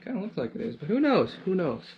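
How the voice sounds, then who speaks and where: A man's voice: high-pitched, big pitch swings, quick pace. A man giving casual hands-on commentary as he works, thinking aloud in muttered fragments. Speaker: DIY vlogger. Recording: noisy, dull lo-fi camera audio with clicks.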